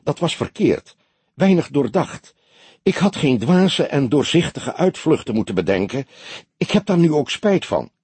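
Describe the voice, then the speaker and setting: A man's voice: a little high, sing-song, husky, no room sound, pitched above the performer's own voice, full character voice. Man voicing a defensive, hesitant woman. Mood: regretful. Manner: soft, careful, halting. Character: defensive, hesitant woman civil servant under questioning. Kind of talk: audiobook character dialogue.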